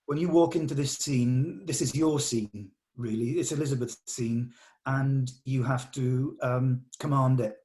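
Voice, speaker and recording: A man's voice: mid-range voice, a little slow, darkish tone, rough recording. Man giving encouraging director's notes. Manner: encouraging, hesitant rephrasing. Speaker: director leading a script read-through. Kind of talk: giving notes to actors in conversation.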